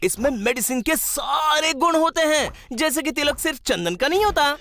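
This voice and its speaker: A woman's voice: high voice, animated, moderate pace, fairly bright tone, faint music behind. A woman voicing a cheerful, wise and playful magical stone who teaches children in an educational cartoon, encouraging and exclamatory. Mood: explaining. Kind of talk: animated educational cartoon dialogue.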